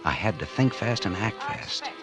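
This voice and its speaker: An actor playing a scheming, guilt-ridden husband turned murderer in a 1940s American radio suspense drama, with tense hard-boiled confessional delivery. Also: male voice, lowish voice, huge pitch swings, moderate pace. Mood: grim, tense. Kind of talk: 1940s radio suspense acting with first-person narration. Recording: vintage 1940s radio drama recording, music behind.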